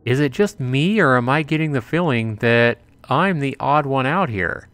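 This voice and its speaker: A man voicing a bewildered, defensive young man in a visual novel, halting and earnest as he pleads. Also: medium pitch, animated, fairly bright tone, smooth, no echo, lightly acted. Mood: upset, pleading.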